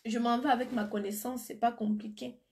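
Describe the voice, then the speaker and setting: A woman's voice: fairly high pitch, flat delivery, a little fast. A woman with a cold and flu chatting on a live stream from her phone, answering viewers' comments and turning blunt when she insists on something. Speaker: beauty seller on a live stream. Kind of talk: casual live stream chat.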